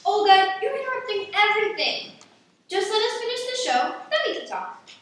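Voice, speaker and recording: Woman as a high-pitched bossy girl, echoey. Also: sing-song, moderate pace, fairly bright tone, full character voice. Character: bossy, exasperated girl, a sibling in charge. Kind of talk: radio play acting. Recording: very echoey, distant mic, faint noise.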